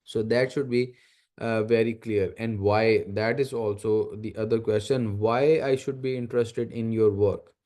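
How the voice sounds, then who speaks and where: Mellow-voiced Pakistani male lecturer. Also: fairly low pitch, steady tone, measured pace. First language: Urdu. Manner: explanatory, emphatic repetition, rhetorical questions. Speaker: guest university lecturer. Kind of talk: academic lecture. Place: online video meeting.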